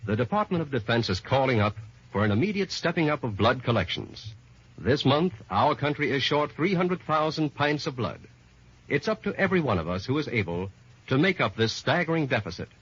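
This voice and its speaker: A man's voice: mid-range voice, fairly bright tone, husky. An American man announcing in formal, emphatic, scripted 1950s radio style. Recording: muffled, lo-fi old radio recording.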